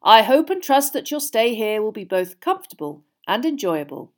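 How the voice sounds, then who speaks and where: A dignified old headmaster from a storybook, put on by a woman, genial and formal. He addresses his listeners like an orator, with broad, expressive swings of pitch. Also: normal speed. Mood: genial, welcoming. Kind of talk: acted dialogue in an audiobook reading.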